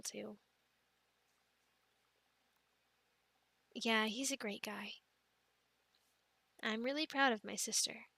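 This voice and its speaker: Bright-voiced, sweet young girlfriend played by a woman. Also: a little high, no room sound. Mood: fond, reflective. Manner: giggly, hesitant, chatty with fillers and pauses. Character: devout, affectionate, playful young adult girlfriend. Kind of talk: soft girlfriend roleplay, casual improvised-sounding chat.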